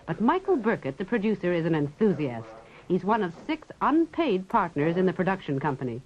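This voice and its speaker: A woman's voice: on the low side, very animated, a little fast. Polished woman newsreel presenter. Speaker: reporter and presenter. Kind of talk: scripted newsreel narration. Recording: dull 1960s film soundtrack.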